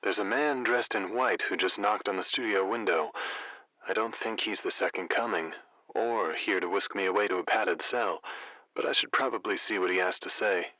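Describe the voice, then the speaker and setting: An actor playing a wry, laid-back late-night radio host in an audio drama. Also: male voice, lowish voice, moderate pace, sharp tone, no echo, dull audio. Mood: wry, polite.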